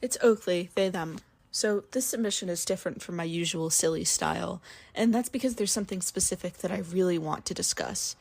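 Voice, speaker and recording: A woman reads a prepared commentary in a husky voice with a good deal of fry. She sounds earnest and measured, setting out her analysis in careful, articulate phrases. Her pitch sits a little low. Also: a little mellow. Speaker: listener sending a recorded commentary. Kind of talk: prepared spoken essay, literary analysis.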